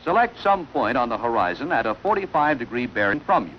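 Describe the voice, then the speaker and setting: A man delivering formal, measured instructional narration in the style of a wartime training film, on an old film soundtrack. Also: mid-range voice, very animated, highs cut off, slight background noise.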